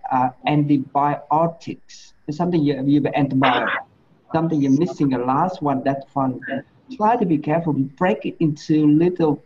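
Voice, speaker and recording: A slightly high-voiced man coaches students on pronunciation. He is informal, repeats words and often adds "you know". The sound is dull, with faint noise. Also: darkish tone. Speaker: teacher. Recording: dull, slightly noisy room recording.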